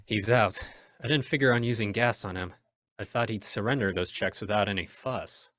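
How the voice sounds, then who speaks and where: A man's voice: lowish voice, moderate pace, a bit bright, close to an everyday voice. A man acting a stern, commanding masked vigilante in an old-time radio drama, speaking in firm, menacing declarations. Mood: guarded, deliberate. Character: masked male crime-fighting vigilante, stern, commanding, resolute.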